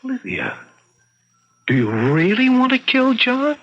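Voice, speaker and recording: Radio drama role: questioning, lecturing psychiatrist, very expressive pitch, muffled old recording. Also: male voice, unhurried, slightly rough, heavily acted. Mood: disbelieving. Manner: probing, lecturing. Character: probing male psychiatrist, challenging his troubled patient. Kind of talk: old-time radio mystery acting.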